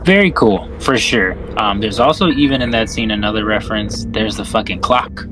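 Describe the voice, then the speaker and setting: Low-voiced, profane man chatting over music. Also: normal speed, poor audio. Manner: profane, slangy, self-deprecating. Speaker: podcast co-host recapping a film. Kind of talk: casual film discussion.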